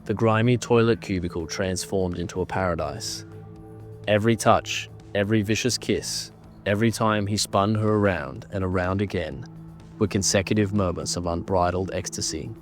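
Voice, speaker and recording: A man narrating a scripted horror story in a measured, dramatic manner. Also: sits low, soft background music.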